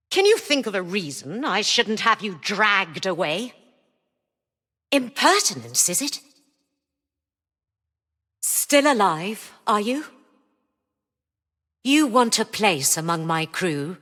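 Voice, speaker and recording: An actress playing a cynical, commanding spaceship mistress in a video game, imperious, dismissive and dryly sardonic. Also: female voice, mid-range voice, bright, forceful tone, full character voice. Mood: wry, guarded. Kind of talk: scripted video game voice lines. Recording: long reverberant hall echo.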